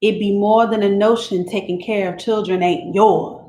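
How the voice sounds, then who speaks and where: A worn-down teenage country girl pours out her troubles to God in plain, quiet dialect, as a woman reads her letters aloud. Her voice sits at an ordinary middle pitch, and the recording carries a roomy echo with a faint hiss behind it. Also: fairly even intonation, normal speed, close to an everyday voice. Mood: resigned. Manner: plain-spoken rural dialect, quiet and resigned. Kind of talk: dramatic reading of letters.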